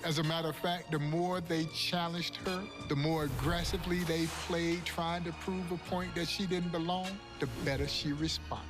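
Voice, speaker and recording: A man's voice: on the high side, fairly even intonation, normal speed, hardly any pauses. A man telling sports history as a documentary narrator, emphatic, putting rhetorical questions to the listener. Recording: prominent music under the narration. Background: music.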